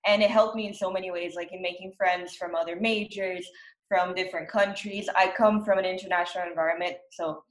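A woman's voice: fairly low pitch, steady tone, a little fast. A young woman from Brazil, a university student speaking earnestly in a casual chat. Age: young adult. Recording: echoey room.

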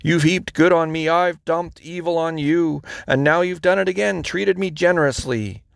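A man's voice: fairly high pitch, normal speed, gravelly, no echo, studio quality, voice pushed up. A man reading aloud and voicing a weary, remorseful king in an earnest, pleading way.

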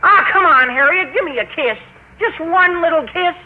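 An actor playing an eager, excitable teenage schoolboy schemer in an old-time radio sitcom. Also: male voice, extremely high pitch, moderate pace. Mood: coaxing. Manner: eager, animated. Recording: tinny old radio recording with noise.

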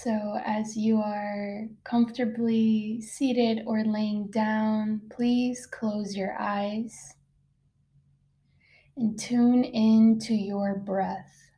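Female meditation guide; very relaxed, dark-soft tone, little pitch movement, gentle instructional delivery. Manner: soft-spoken, unhurried, with long pauses. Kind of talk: guided meditation, slow soothing narration.

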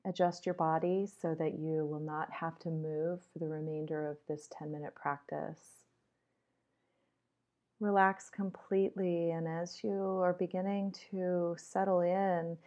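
A woman leading a guided meditation in a measured, instructional way with deliberate pauses, on slightly dull audio. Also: low voice, darkish tone.

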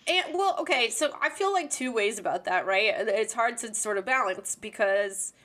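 A woman's voice: a little high, expressive, moderate pace, bright, forceful tone. A woman talking candidly and informally in a reflective conversation, peppering it with "like" and "you know".

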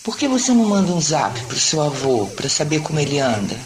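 An actress plays a Brazilian mother with a slightly low, animated voice. She is caring and gently nudging, as in a radio play. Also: fairly quick, a little hiss or hum. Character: caring, nudging mother talking to her child. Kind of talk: acted audio drama dialogue.

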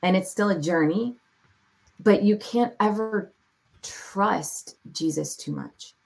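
A woman speaking as a podcast guest, sharing her testimony in a reflective, heartfelt way with measured pauses. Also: mid-range voice, vocal fry, darkish tone, low-quality recording. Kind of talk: personal testimony in an interview.

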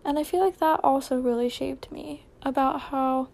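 A woman's voice: high voice, steady tone, normal speed, gravelly, darkish tone. A young woman with an American accent chatting casually on a solo podcast, rambling informally, questioning and correcting herself as she goes.

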